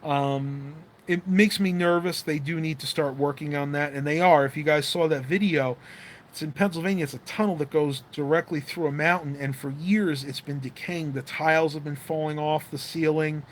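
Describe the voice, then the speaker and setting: A man's voice: fairly high pitch, fairly even intonation, normal speed, slight background noise. Chatty man with a dry, fading voice. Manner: rambling, chatty, with many fillers. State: losing his voice, dry mouth. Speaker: livestream host. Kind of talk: casual livestream chat reading comments.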